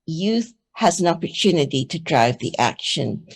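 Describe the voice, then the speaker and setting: A woman's voice: low-pitched, very animated, moderate pace, husky. Earnest woman speaking on a panel. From Singapore. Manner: earnest, persuasive. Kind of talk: conference panel talk.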